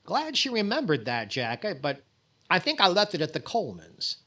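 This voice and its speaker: An actor playing a vain, fussy, mildly exasperated comedy star in 1940s radio style, with a hesitant, dry, indignant delivery. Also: male voice, high voice, huge pitch swings, moderate pace, sharp tone, exaggerated voice. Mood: musing.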